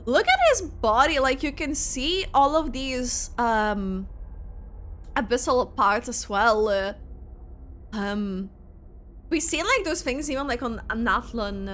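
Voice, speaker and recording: A woman giving casual, excitable live commentary as she reacts to a video game. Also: on the high side, takes it slowly, slight background noise. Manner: excitable, exclamatory. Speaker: gaming content creator reacting to a playthrough. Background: game music.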